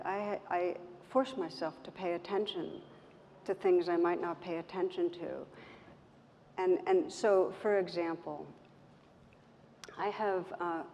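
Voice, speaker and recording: A woman with a soft voice speaking gently and reflectively as a meditation teacher, in measured phrases with pauses. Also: on the low side. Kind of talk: reflective talk in an on-stage conversation. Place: event stage in a hall. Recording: roomy hall, muffled, background noise.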